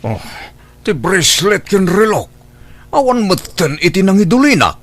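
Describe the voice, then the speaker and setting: A man's voice: high-pitched, very animated, moderate pace, a bit bright, heavily acted. A man acting in an Ilocano radio drama as an insistent, suspicious older father, with an Ilocano accent. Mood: worried, insistent. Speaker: drama actor.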